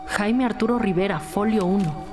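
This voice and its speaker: A woman of medium pitch playing a firm police interrogator. Her delivery is formal and pressing, in a scripted crime drama with music clearly underneath. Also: moderate pace, lightly acted. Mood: firm questioning. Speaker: actor in a crime audio drama.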